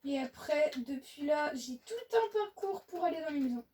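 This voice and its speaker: A woman co-hosting a gaming video, explaining things in a relaxed, casual way. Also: high-pitched, moderate pace, distant mic. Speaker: gaming channel co-host. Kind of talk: casual gameplay chat.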